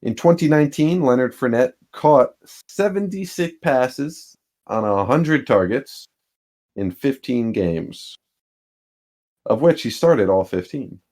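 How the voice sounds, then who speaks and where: A man's voice: mid-range voice, expressive, takes it slowly, darkish tone. A man co-hosting a casual sports podcast, laid-back with dry humor and a habit of chuckling as he talks. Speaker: podcast co-host.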